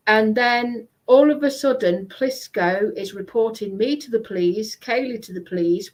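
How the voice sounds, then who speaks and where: A woman chatting casually in a hesitant, halting way, often repeating herself. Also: medium pitch, poor audio. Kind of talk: casual chat.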